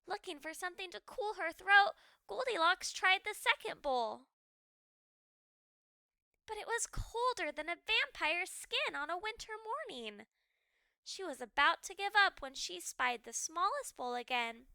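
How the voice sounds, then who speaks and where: A woman storyteller reading a children's book aloud with expressive, dramatic and deliberately phrased narration. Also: very high voice, vocal fry, no room sound, studio quality.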